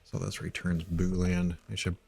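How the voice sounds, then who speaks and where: A middle-aged man from Missouri thinking aloud while he writes code, halting with many ums and uhs. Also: low-pitched, monotone, gravelly, a little mellow, breathy edge. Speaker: live-coding streamer.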